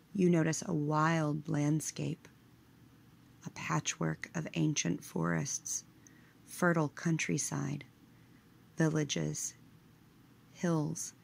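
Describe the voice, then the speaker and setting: A woman leading a scripted guided meditation in a measured, hushed manner, letting her phrase endings trail away. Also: deep voice, little pitch movement, creaky, husky.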